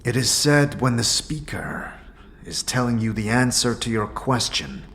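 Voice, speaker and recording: A man's voice: medium pitch, big pitch swings, a little slow, fairly bright tone, a little hiss or hum. A man playing a grave, stoic otherworldly warrior monk in a fantasy audio drama, speaking solemnly in formal, archaic phrasing. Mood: measured, instructive.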